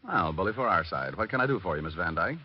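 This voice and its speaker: An actor playing a wisecracking, flirtatious private detective with an American accent and breezy, sarcastic delivery in golden-age radio style. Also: male voice, low voice, animated, quick pace, a little hiss or hum. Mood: glib, flirtatious. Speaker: lead actor and narrator of detective radio drama. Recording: muffled old radio recording.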